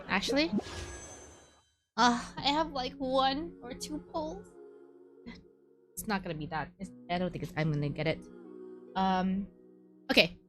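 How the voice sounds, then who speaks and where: A woman livestreaming a game, chatting casually and muttering to herself as she thinks aloud. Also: mid-range voice. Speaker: livestreamer, VTuber. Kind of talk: casual live gaming stream chat. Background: background music.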